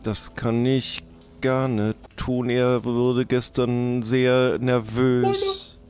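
A man playing a formal, businesslike undertaker with a deliberate, dry manner in an improvised role-play comedy. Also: mid-range voice, level tone, faint music behind.

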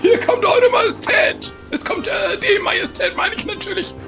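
An officious squire clearing the road for the king in an adventure audio play. The voice is pushed very high and calls out loudly like a herald, with music behind. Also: normal speed, exaggerated voice. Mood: self-important. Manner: loud herald-like calling. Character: shrill, bustling royal squire announcing his majesty.

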